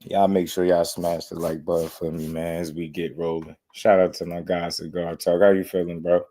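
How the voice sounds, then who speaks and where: A deep-voiced man hosts a casual boxing livestream, his voice slightly hoarse. He talks in loose slang and calls out viewers by name. His takes are blunt and opinionated. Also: level tone, moderate pace, dark tone. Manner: loose slang, hypes and greets chatters. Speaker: boxing show host. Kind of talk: casual livestream chat and commentary.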